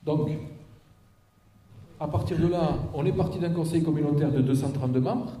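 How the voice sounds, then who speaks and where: Male local official explaining a plan methodically to a meeting; very mellow tone, distant and reverberant in an auditorium. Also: fairly high pitch, moderate pace, a little hiss or hum. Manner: didactic, step-by-step, with check-in tags and rhetorical questions. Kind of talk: public presentation, explanatory talk. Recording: echoey auditorium, picked up from a distance.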